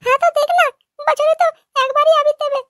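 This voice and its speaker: Squeaky, cheeky young woman. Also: little pitch movement, fast talker, sharp tone, no room sound, clean studio sound. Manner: cheeky, brazen.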